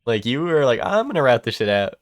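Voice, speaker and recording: A man's voice: medium pitch, very animated, quick pace. Rambling congested young man streaming. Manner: rambling, heavy on fillers and restarts, swears casually. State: congested from a cold. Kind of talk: casual chat while gaming.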